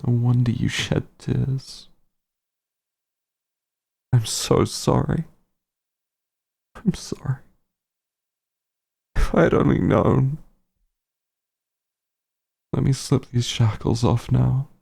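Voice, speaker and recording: A man playing a remorseful werewolf hunter in an intimate fantasy audio roleplay, speaking gently and haltingly with a husky, emotional voice. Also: medium pitch, fairly even intonation, exaggerated voice. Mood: remorseful, tender. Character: werewolf hunter, tender and remorseful, reunited with lost friend. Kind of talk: roleplay audio drama, intimate monologue to listener.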